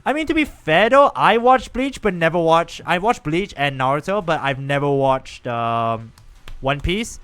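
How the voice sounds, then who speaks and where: A young man live-streaming a game, chatting with viewers in an animated, rambling way. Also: fairly high pitch, a little slow, clear, resonant tone. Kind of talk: casual live-stream chat while gaming.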